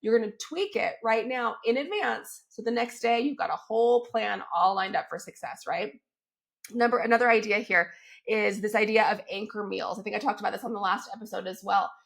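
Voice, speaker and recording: A woman hosting a fitness podcast while she has a cold, her voice crackly and a little squeaky, talking in an upbeat, chatty way and often checking in with her listeners. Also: brisk, gravelly. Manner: upbeat and chatty, keeps checking in with "right?" and "okay?". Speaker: fitness coach and podcast host. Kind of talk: solo podcast, coaching talk.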